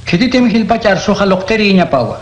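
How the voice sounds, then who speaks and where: Un hombre interpreta a Jesús enseñando con calma y tono instructivo en un radioteatro cristiano. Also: male voice, sits high, fairly quick. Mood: teaching. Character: Jesus, calm adult male teacher. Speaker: voice actor. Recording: echoey room.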